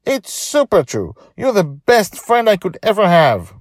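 A man's voice: sits high, huge pitch swings, unhurried, dry recording, clean studio sound, voice pushed up, full character voice. A man voicing a defiant, loyal eight-year-old pirate girl in a storybook reading, bold, proud and emphatic. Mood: fiercely loyal.

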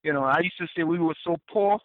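An older man from Trinidad and Tobago speaks as a guest in a casual interview, earnest and prone to repeating words as he restarts. His voice sits fairly high for a man. It comes through a tinny, dry phone line. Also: fast, no echo. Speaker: guest, former Wall Street compliance officer.

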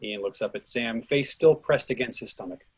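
A man's voice: mid-range voice, normal speed, husky. A man narrating scripted stage directions for a play reading.

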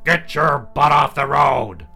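A gray-haired army general who barks his commands loudly, voiced by a man reading a story. The voice is forceful and bright, pushed a little above the reader's own pitch. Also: lively intonation, slow, creaky, breathy edge, soft background music, full character voice. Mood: barking, urgent. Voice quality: barking.

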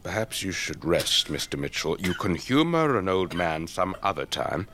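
Deep-voiced old English officer. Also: male voice, big pitch swings, normal speed, fairly bright tone, full character voice. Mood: gossipy storytelling. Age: older. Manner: old-fashioned clipped officer politeness, rambling anecdotes. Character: elderly English retired air force officer, avuncular, chatty storyteller. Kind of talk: radio drama acting.

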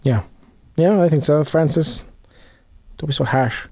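A man's voice: fairly high pitch, slow, warm tone, dry recording. Easygoing young man bantering. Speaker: podcast co-host. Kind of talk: casual chat.

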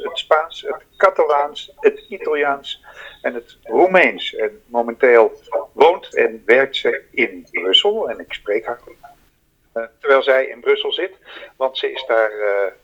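A man's voice: mid-range voice, fairly bright tone. A man with a Dutch accent hosting a podcast, introducing and interviewing a guest over an internet connection. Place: at home, remote internet call. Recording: dull, lo-fi remote internet connection.

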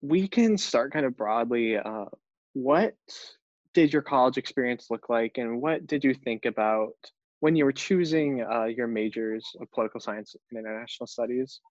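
A man's voice: medium pitch, moderate pace, no echo. Young American man, dull lo-fi sound. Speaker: podcast host, interviewer. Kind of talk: scripted introduction and interview questions.

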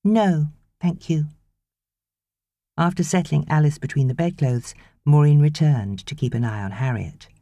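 A woman narrating an audiobook novel in an expressive, measured storytelling manner. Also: low voice, dry recording.

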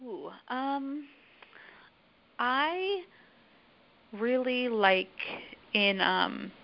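A woman who writes for a museum, answering interview questions thoughtfully with many filler pauses. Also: a little high, very animated, vocal fry, muffled recording.

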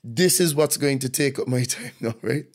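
A man hosting a casual chat, relaxed and affirming, thinking out loud with restarts and frequent murmurs of agreement. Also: mid-range voice, normal speed.

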